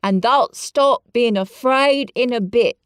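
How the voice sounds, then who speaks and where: A woman voicing a cheerful country boy in a Yorkshire dialect, speaking stoutly and slowly. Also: on the high side, expressive, smooth, no room sound, studio quality, pitched above the performer's own voice. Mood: reassuring. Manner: stout, slow and reassuring.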